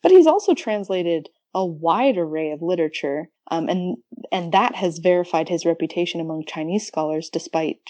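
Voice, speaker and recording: A woman's voice: lowish voice, expressive, normal speed, few pauses, mellow, no room sound. A woman speaking as a podcast guest and researcher, explaining things casually and thinking aloud in an informal way.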